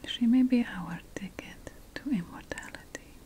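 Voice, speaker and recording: A woman whispering in a breathy, gentle, conspiratorial way, playing a secretive ancient witch in an ASMR fantasy roleplay. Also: a little high, moderate pace. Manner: gentle, conspiratorial, addressing the listener as an assistant. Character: secretive ancient witch priestess researching magical potion plants.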